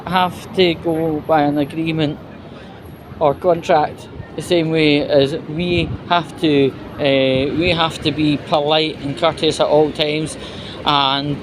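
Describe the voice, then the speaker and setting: Exasperated Scottish man, noisy outdoor recording. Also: on the high side. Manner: exasperated, sweary, halting. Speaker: vlogger. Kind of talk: off-the-cuff walking rant. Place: outdoors, walking. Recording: noisy outdoor street with traffic and crowd.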